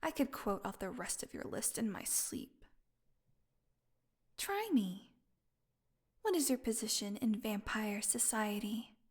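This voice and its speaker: A woman's voice: mid-range voice, expressive, slow, pauses often, breathy edge, full character voice. A woman playing a snarky, defiant, starved vampire prisoner in an audio roleplay, with a sarcastic, taunting drawl. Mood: bored, mocking.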